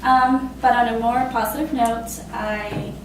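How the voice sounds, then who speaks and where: A woman in her twenties from Costa Rica, telling her own story in a casual, halting way with many fillers and laughs. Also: a little high, fairly even intonation, heavy reverb, distant mic, noisy background. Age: 20s. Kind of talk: personal spoken testimony.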